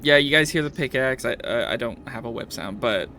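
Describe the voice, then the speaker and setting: A man with a creaky, gravelly voice runs a tabletop game as game master. He talks casually and haltingly, over faint background noise. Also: mid-range voice, lively intonation, bright, forceful tone.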